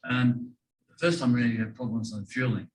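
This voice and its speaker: An Australian man asking an aviation guest technical questions, soft-spoken and hesitant with many "uh" and "um". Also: medium pitch, fairly even intonation, brisk. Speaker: audience questioner.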